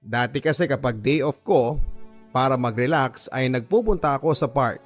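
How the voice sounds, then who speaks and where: A man's voice: mid-range voice, normal speed. Filipino man narrating sentimental drama over music. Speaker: radio drama narrator reading a letter-sender's story. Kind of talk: dramatic scripted first-person storytelling, radio love-story drama. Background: background music.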